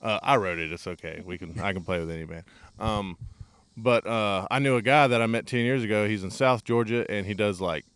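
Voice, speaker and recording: A man's voice: lowish voice, a little fast, a bit bright, clean tone. A man, a guitarist and songwriter in a band, telling stories about recording in an easygoing, plainspoken way. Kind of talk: casual chat.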